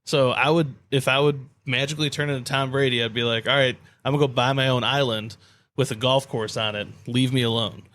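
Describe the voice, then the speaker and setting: Male co-host, average pitch, casual and teasing, happy to play contrarian in sports banter. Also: steady tone, fairly quick, fairly bright tone, no echo. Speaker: podcast co-host.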